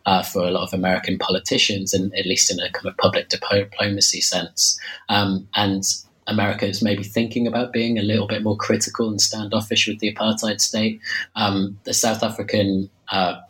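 A man's voice: low-pitched, bright, forceful tone, low-quality recording. A man with a slight cold, losing his voice a little, talking about his book in an interview, hesitant with many fillers and restarts. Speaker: author discussing his book. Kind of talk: interview answer.

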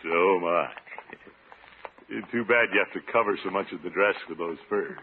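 An actor playing a self-satisfied, bumbling middle-aged bachelor uncle in vintage radio comedy, pompous and easily flustered. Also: male voice, deep voice, moderate pace. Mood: flirtatious. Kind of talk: 1940s-50s radio comedy acting. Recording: vintage radio recording, narrow band, hissy.

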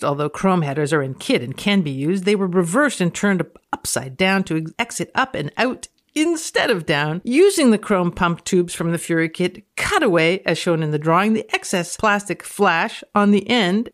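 Canadian woman on a podcast, mid pitch, reads stuff out dramatically, kinda playful mock-expert tone. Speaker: guest reader and commentator on a podcast. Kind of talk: dramatic reading of a text with casual reactions.

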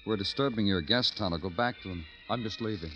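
A man's voice: lowish voice, quick pace, lightly acted. An actor playing a terse, world-weary male police detective, clipped and dry, in an old radio crime drama. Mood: curt. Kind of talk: 1940s-50s radio crime drama acting and narration. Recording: muffled old radio recording with music.

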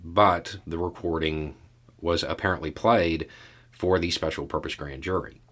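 A man with a very low voice hosts a casual news commentary. He speaks slowly and in a measured way, leaving deliberate pauses as he works through each point. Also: steady tone, fairly bright tone. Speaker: podcast host commentator.

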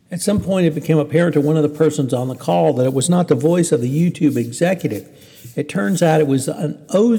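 A man's voice: mid-range voice, darkish tone. Man in a very echoey room, reporting news. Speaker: podcast host. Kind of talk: news commentary, semi-scripted. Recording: hall-like reverberant room.

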